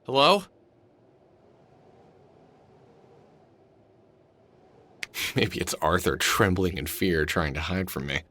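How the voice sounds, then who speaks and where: A man's voice: low voice, very animated, moderate pace, sharp tone, full character voice. A man voicing a wry, protective middle-aged adventure game hero who often mutters expressively to himself. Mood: wry, curious. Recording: background music under the voice.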